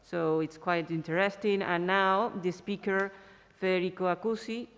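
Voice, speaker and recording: A woman's voice: on the low side, fairly even intonation. Woman chairing a panel in a large hall. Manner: brisk, hesitant fillers while reading the introduction. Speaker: session chair. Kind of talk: introducing speakers. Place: conference hall, at a panel table. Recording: long reverb of a large hall.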